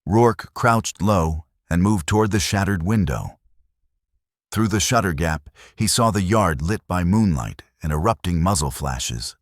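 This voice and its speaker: A man's voice: low voice, lively intonation, measured pace, no echo, clean studio sound. A man narrating a dramatic Western tale as a scripted storyteller.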